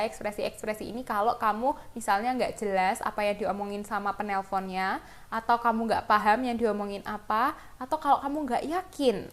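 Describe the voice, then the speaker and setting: Female English teacher, Indonesian accent, fairly high voice, informal and conversational while explaining phrases. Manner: informal, conversational, addresses students directly. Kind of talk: lesson explanation, reading example phrases and translating them.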